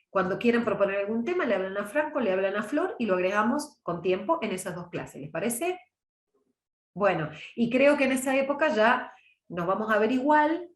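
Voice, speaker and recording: A woman's voice: mid-range voice, reverberant. A middle-aged woman from Córdoba with a mild Argentine accent, teaching a public speaking class over a video call in a chatty, conversational way. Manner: chatty, warm, answers chat questions by name. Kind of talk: online class, casual lecture.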